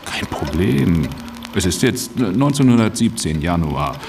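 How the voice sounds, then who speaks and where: A glib, promise-making political operator for radio. The deep voice is gravelly and animated, with a breezy, assured colloquial tone. Music plays underneath. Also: male voice, a little slow, full character voice. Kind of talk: German radio drama acting.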